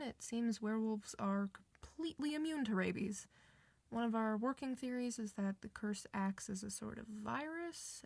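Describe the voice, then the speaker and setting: Creaky-voiced reassuring woman acting as a werewolf doctor. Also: mid-range voice, a little mellow, no room sound, close to an everyday voice. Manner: reassuring, informal, hesitant pauses. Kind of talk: ASMR roleplay, one side of a conversation.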